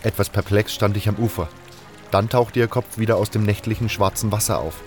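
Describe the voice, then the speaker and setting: A man's voice: lowish voice, fairly even intonation, fairly quick, faint music behind. A man reading scripted first-person narration for a fantasy fan audio drama.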